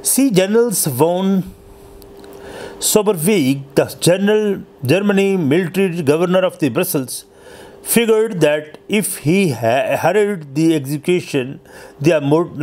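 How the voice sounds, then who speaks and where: Halting man narrating history. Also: fairly high pitch, a little mellow. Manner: halting, stumbling delivery. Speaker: host and narrator of a history story channel.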